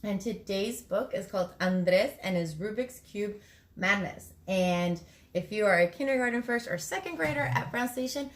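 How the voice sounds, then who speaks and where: A woman reading a children's picture book aloud in a lively, expressive way for school kids. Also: mid-range voice, moderate pace. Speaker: school story reader. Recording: echoey room.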